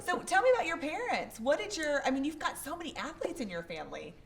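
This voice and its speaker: A woman's voice: sits high, huge pitch swings, fast talker, creaky, slight background noise. A woman interviewing a guest in a conversational way, asking curious questions with light teasing.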